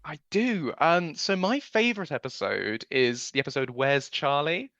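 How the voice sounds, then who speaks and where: A man's voice: fairly high pitch, animated, normal speed, fairly bright tone, no echo. Chatty Englishman, slightly dull close recording. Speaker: producer being interviewed. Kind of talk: conversational interview answers, recounting family memories.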